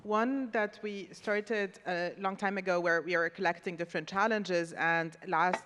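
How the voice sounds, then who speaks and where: A woman's voice: fairly low pitch, fairly even intonation, measured pace, noisy background. A woman lecturer on a conference panel, laying out examples in an organized way. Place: conference hall. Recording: long reverb from a large hall.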